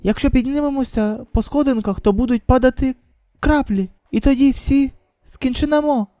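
A woman voicing a cautious, observant survivor who explains haltingly in a manga dub. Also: sits high, dark tone, dry recording, close to an everyday voice. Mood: tense, careful.

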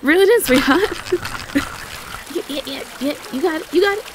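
A woman's voice: high voice, normal speed, background noise, natural voice. A woman playing an affectionate, cheerful young Southern belle girlfriend in a roleplay audio, with a Southern US accent. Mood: delighted, encouraging. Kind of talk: intimate roleplay audio acting.

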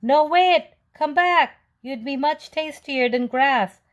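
A woman voicing offended farm animals, a horse and a cow, yelling in a storybook. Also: sits high, slow, pitched above the performer's own voice. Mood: indignant. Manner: loud yelling.

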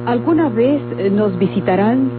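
An actress with a Mexican accent playing a determined, demanding young bride-to-be in a radio drama. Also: female voice, a little high, animated, moderate pace, noisy background, natural voice. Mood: firm, demanding. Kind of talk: golden-age Mexican radio drama acting. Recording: tinny old radio recording, music behind.

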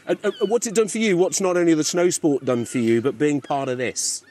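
A man's voice: fairly high pitch, a little fast, a little mellow. A man reporting live outdoors on the coast, chatty and on the spot. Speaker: reporter on location.

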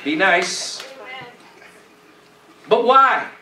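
A man's voice: high-pitched, lively intonation, drawn-out words, noisy background. A middle-aged man preaching a sermon in colloquial, repetitive phrases, exhorting his congregation and punctuating points with "amen?". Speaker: pastor preaching. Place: church stage, indoors. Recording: echoey church, distant camera pickup.

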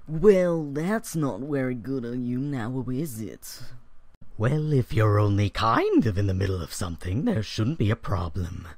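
Man acting creepy male clown, soft menace, slow, sinister, dry recording. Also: mid-range voice, big pitch swings, no echo. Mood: sinister coaxing.

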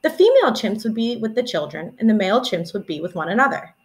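A young woman in her twenties, a teacher reading a children's picture book aloud in an expressive storytelling manner, recorded indoors at home on a webcam. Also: mid-range voice, fast talker. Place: small room at home, indoors.

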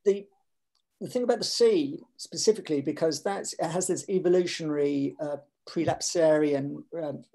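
An older man speaks over a video call from his home, his voice gravelly and husky. He talks in an animated, digressive way, restarting his sentences as he reflects. The tone is that of a literary conversation. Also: on the high side, moderate pace. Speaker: author in panel conversation. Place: home room with shelves.

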